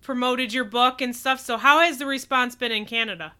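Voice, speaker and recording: A woman co-hosting a casual interview, asking questions and backing the guest with quick agreement. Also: on the high side, fairly even intonation, bright, forceful tone, clean tone. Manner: attentive, lots of backchannel yeahs. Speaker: co-host.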